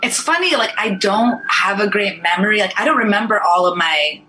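A woman's voice: mid-range voice, animated, brisk, bright, forceful tone, slight background noise, poor audio. A young American woman, an actor whose first language was Farsi, chatting casually and rambling in an interview. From United States. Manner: chatty, rambling, says "like" a lot. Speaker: actor, guest.

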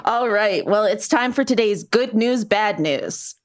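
A female co-host with a medium-pitched voice. She talks casually and colloquially, with playful emphasis, as in light news chat. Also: expressive, a little slow, over music.

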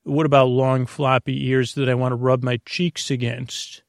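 A man's voice: medium pitch, flat delivery, normal speed, creaky, no room sound, clean studio sound, natural voice. A man voicing a cute, playful imaginary bunny-like creature for a bedtime podcast, with hesitant little interjections.

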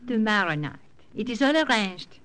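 A woman's voice: fairly high pitch, lively intonation, moderate pace, a bit bright, rough recording. An actress in a 1940s radio drama playing a flirtatious French woman with a French accent, teasing and lilting. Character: coy French woman, teasing spy helper.

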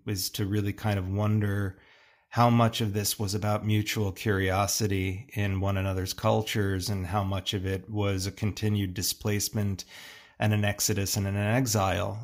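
A middle-aged American man telling personal stories in an interview in a thoughtful, halting way, often pausing mid-phrase. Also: lowish voice. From United States, suburban Long Island. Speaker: interviewed artist. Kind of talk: reflective interview storytelling.

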